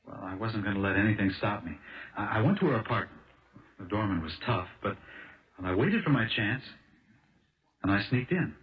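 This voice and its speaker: A man acting in a 1970s radio drama as an impulsive, fast-talking American car salesman, earnest and halting as he protests his innocence. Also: on the low side, lively intonation, natural voice. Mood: rueful, eager. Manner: halting, earnest, restarts phrases. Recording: dull lo-fi old radio broadcast.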